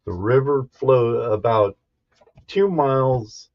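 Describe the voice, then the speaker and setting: A man hosting a casual livestream, chatting and telling stories in a halting, unhurried, folksy way. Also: medium pitch, lo-fi sound. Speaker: livestream host and storyteller. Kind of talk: casual livestream chat and storytelling.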